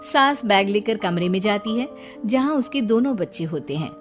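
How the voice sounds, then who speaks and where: A woman's voice: medium pitch, lively intonation, moderate pace. A woman reading a scripted story as its narrator. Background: background music.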